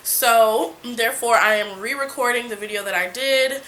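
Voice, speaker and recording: A woman's voice: a little high, moderate pace, bright, forceful tone, slight background noise, low-quality recording. A young woman with a congested voice from a cold, telling a casual story to her channel, chatty with many fillers. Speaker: vlogger. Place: small indoor room before a folding screen. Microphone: camera in front, seated at mid distance.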